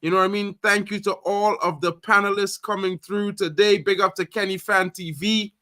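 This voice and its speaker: Casual live stream host, trinidad guy, high pitch, loud and hyped, dry close mic. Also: normal speed, no echo. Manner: animated, loud, repeats fillers like "you know what I mean".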